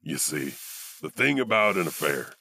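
An actor playing a ghoulish, wry old storyteller, hosting horror tales in a theatrical, punning way. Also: male voice, sits low, sing-song, moderate pace, creaky, bright, forceful tone, heavily acted. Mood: ominous. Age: older. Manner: sly, theatrical, punning, chuckling. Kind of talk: horror anthology host narration.

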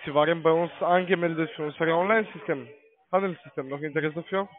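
A man's voice: fairly high pitch, steady tone, quick pace. A man with a foreign accent, a non-native speaker, mumbling his way through a sales call. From Russia. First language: not German. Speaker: call-centre caller. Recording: tinny phone line.

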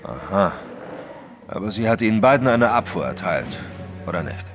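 A hulking, skeptical detective in a radio play. His voice is fairly low and lively, with dry, commanding, probing delivery over faint music. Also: moderate pace. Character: huge, brusque, skeptical French male detective. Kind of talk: German audio drama acting.

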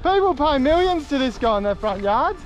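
Excitable young Aussie fisherman outdoors. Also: extremely high pitch, moderate pace. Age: young adult. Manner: excitable, shouts when fish bite. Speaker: fishing vlogger. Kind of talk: casual outdoor fishing vlog. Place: outdoors on rocky island shore by the sea. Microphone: action camera.

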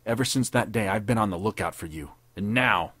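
A man playing a tough, vengeful army captain who speaks in gruff, threatening tones. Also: fairly low pitch, fairly quick, a bit bright, no room sound. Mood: menacing.

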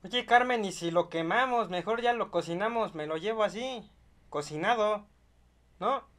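Young man putting on a high-pitched stubborn rancher. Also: moderate pace, a bit bright, a little hiss or hum. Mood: wistful pleading. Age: young adult. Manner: pleading, hesitant, folksy, repetitive. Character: insistent rural rancher, older man, stubborn, grumbling, attached to his donkey. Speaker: prank caller. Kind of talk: improvised prank phone call. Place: small bedroom studio, indoors.

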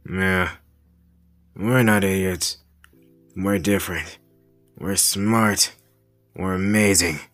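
Man playing a slow, low, drawling villain boyfriend. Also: fairly even intonation, fairly bright tone, soft background music. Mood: teasing, affectionate.

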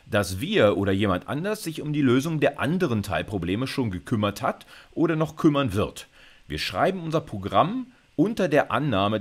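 A man in his fifties giving an explanatory university video lecture in an informal, emphatic, conversational way, often checking in with short tag questions. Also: mid-range voice. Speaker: university computer science lecturer.

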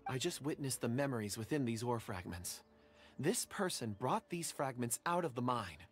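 A man's voice: mid-range voice, lively intonation, moderate pace, soft background music, close to an everyday voice. A man playing a polite young scholar. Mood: courteous. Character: gentle, polite young scholar, slightly dazed. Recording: game audio with faint ambience.

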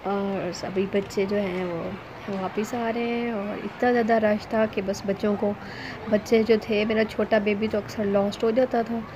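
A woman narrating a casual family vlog as a mother, conversational and hesitant with drawn-out pauses. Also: on the high side. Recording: noisy ambient background behind voice.

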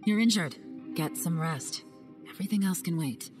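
Low-voiced cool spy woman, a woman voicing her, calm and measured, game music behind. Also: natural voice. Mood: calm, analytical. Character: cool, secretive young woman agent. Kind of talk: game cutscene voice acting.

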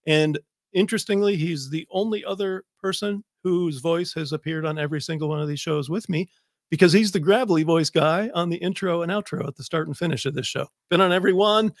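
An American man in middle age with a slightly high voice hosts a talk show in a chatty style. He is close on the mic, and the sound is dry. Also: brisk, dry recording. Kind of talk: chatty show introduction and interview. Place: home office with bookshelves, indoors. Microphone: close desk microphone.

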